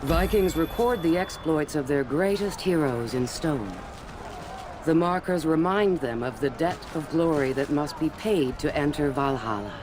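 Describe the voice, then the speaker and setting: An actor playing a solemn chronicler of Viking legend, narrating a video game in a measured manner. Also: male voice, a little high, close to an everyday voice. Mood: solemn, reverent. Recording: noisy ambience behind.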